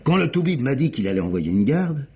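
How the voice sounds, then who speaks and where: A dull, hissy old radio crime drama recording carries an eager police inspector. He rattles along quickly in a colloquial, animated way, like a born storyteller proud of what he found. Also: mid-range voice. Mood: excited storytelling. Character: chatty, eager male police inspector, proud of his findings. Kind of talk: mid-century French radio crime drama acting.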